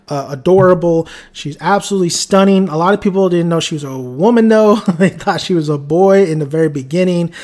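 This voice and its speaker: A man's voice: high voice, darkish tone. Chatty man with a Mexican accent. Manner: rambling, chatty, often restarts sentences and chuckles. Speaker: anime reviewer. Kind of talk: casual video review.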